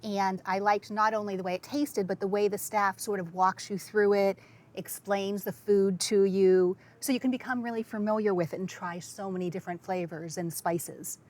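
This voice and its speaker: A woman's voice: medium pitch, steady tone, normal speed, a little mellow. Enthusiastic middle-aged woman, chatty. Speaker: guest, publicist. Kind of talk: casual panel chat.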